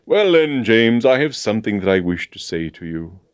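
A man voicing a sentimental old army colonel in a storybook, with halting, courtly, blustering speech. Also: lowish voice, big pitch swings, no echo, pitched above the performer's own voice. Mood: diffident, blustering. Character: bluff, sentimental, absent-minded old army colonel, wistfully noble. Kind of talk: dramatised storybook reading.

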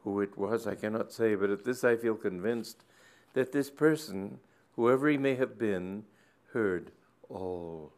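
A man playing a shy young male curate who speaks quietly and hesitantly. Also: fairly low pitch, unhurried. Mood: confiding.

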